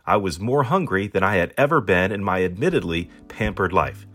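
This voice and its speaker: A man's voice: low voice, animated, a little fast, a bit bright, faint music behind. A man reading as a pampered, proud, hungry stranded dog, narrating in a wordy, wry, self-important manner. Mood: determined. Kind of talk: first-person storybook narration in character.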